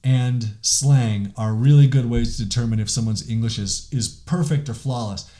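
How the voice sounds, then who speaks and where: Mellow-voiced man hosting a podcast. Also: medium pitch, moderate pace. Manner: conversational, many "like" fillers and restarts. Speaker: podcast host. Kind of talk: casual solo podcast monologue.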